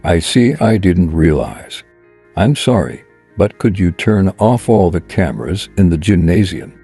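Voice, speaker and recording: A man voices a kindly, dignified older boss for a manga dub. His voice is low and raspy, polite yet authoritative. Music sits softly behind him. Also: big pitch swings, a little slow, exaggerated voice. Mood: indulgent. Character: dignified, kindly older male association president. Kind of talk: dramatic manga dub acting.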